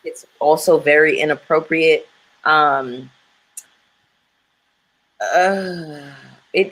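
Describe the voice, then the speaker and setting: A woman's voice: deep voice, a bit bright, a little hiss or hum, poor audio. A woman chatting frankly and with strong opinions about a reality show, with many fillers. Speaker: panelist.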